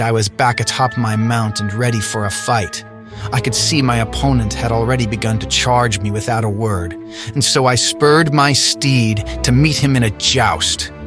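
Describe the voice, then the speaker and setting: Young knight male actor, earnest and solemn, lowish voice, archaic storytelling for audio drama, music underneath. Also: close to an everyday voice. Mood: grave, dramatic. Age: young adult. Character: earnest young Arthurian knight recounting his adventure. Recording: voice over orchestral music.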